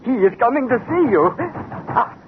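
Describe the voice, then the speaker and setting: An actor playing an excitable, muddled, humble manservant who stammers and repeats himself, in old-time radio drama style. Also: male voice, very high-pitched, unhurried, full character voice. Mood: awed, flustered. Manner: stammering, breathless with excitement, repetitive. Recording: tinny, noisy vintage radio recording.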